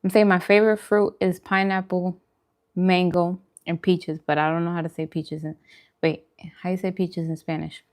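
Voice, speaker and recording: A woman's voice: on the low side, little pitch movement, moderate pace. A woman hosting a food channel, chatting casually and informally with viewers during a mukbang livestream.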